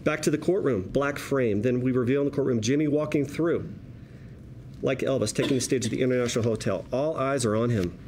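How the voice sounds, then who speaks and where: A man reading stage directions at a table read as narrator, in a wry, deliberate storytelling manner. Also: mid-range voice, darkish tone, a little hiss or hum.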